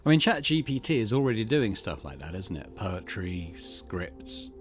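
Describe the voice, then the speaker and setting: Low-voiced man musing over a game. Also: very animated, normal speed. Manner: musing, thinking aloud. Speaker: gaming channel host. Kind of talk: let's play commentary and reading game text aloud. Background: game music.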